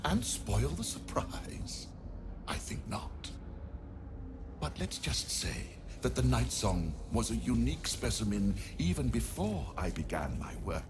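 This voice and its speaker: An actor playing a haughty, sinister necromancer in a video game, with pompous, theatrical, drawling delivery. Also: male voice, mid-range voice, sing-song, a little slow, creaky, background noise. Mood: grandiose, scheming. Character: sinister, haughty male necromancer, vain about his grisly creations. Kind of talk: dramatic video game acting.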